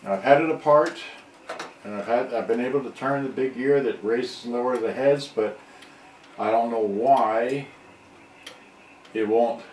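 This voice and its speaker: A middle-aged man thinking aloud in a halting, rambling way during a casual live repair demonstration. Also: mid-range voice, a little mellow. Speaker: hobbyist electronics repairer on a livestream. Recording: distant mic, echoey room, background noise.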